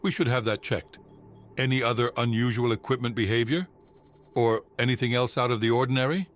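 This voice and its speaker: An actor playing a measured, formal psychiatrist on a space expedition in an audio drama. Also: male voice, mid-range voice, natural voice. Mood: probing, concerned. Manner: calm, formal, clinical. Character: measured, clinical male psychiatrist on a Mars expedition. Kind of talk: audio drama log and session dialogue.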